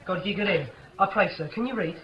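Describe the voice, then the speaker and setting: An actor playing a simple, perky, talkative household servant in a 1950s radio Shakespeare play. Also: male voice, high voice, very fast, natural voice. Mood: polite, puzzled. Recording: muffled old radio recording.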